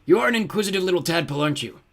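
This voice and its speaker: A man voicing a fiery, menacing demon lord with a booming, grandiose delivery for a story. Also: expressive, racing, creaky. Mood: menacing, gloating. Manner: grandiose, imperious.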